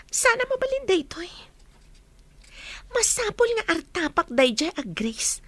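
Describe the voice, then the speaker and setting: A woman's voice: high-pitched, a bit bright, exaggerated voice. A woman playing a scheming, sweetly affectionate young mistress in an Ilocano radio comedy drama, with an Ilocano accent.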